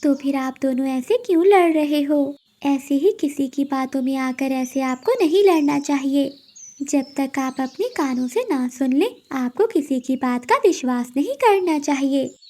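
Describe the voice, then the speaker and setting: A woman voicing a kind, sensible little female sparrow who reasons and gently admonishes in an animated fable. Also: high-pitched, a little slow. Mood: calm, reasoning.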